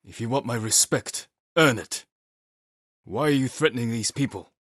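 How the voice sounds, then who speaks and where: An actor playing a stern, honorable samurai warrior in a video game, with a husky voice and a measured, terse, commanding manner. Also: male voice, mid-range voice, fairly bright tone, no room sound, studio quality, lightly acted. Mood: stern, resolute. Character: stern honorable adult male samurai warrior, dutiful and weary. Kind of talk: video game character voice lines.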